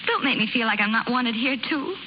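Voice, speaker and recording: An actress playing a wistful, proud young circus bareback rider, subdued and slangy, in a 1930s radio drama. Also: female voice, a little high, animated, a little fast, close to an everyday voice. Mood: sad, resigned. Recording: muffled, hissy 1930s radio recording.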